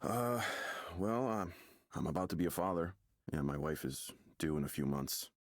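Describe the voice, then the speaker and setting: A man's voice: deep voice, expressive, normal speed, dry recording, studio quality. An actor playing a nervous, defensive expectant father in a visual novel, hesitant and stammering as he talks. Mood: uneasy, hesitant. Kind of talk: visual novel game dialogue. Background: music.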